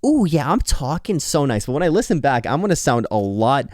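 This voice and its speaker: Young man, tired, slightly nasal, rambling late-night chat, lively pitch, dry close sound. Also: no echo. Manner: rambling, candid, swearing, quick laughs. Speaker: YouTuber. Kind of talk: late-night casual talk to camera. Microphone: earphones on, close mic.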